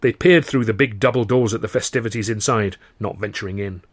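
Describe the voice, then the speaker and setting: A man with an English accent reads his own novel aloud in measured, first-person storytelling. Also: on the low side, no room sound. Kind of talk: dramatised audiobook narration.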